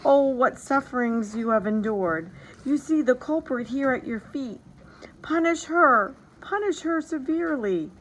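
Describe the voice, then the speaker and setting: A woman's voice: high-pitched, lively intonation, measured pace, a bit bright, slight background noise, voice pushed up, rough recording. A woman voicing a distraught, melodramatic queen who wails and pleads, in a storybook reading. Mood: anguished pleading. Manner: wailing, pleading.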